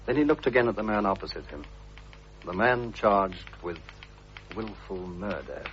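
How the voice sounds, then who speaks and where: A man's voice: deep voice, animated, normal speed. A man giving a dramatic reading of a story. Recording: dull, noisy vintage radio recording.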